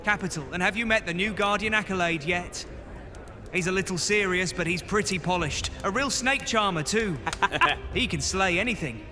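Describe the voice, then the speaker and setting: A man's voice: high voice, normal speed, music in the background, close to an everyday voice. A man playing a young, chatty, unsure squire in a fantasy audio roleplay, gushing and a little nervous. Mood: eager, chatty.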